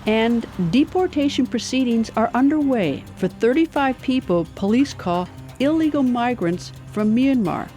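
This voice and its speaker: A woman's voice: on the high side, mellow. A woman reading scripted narration as the narrator of a documentary.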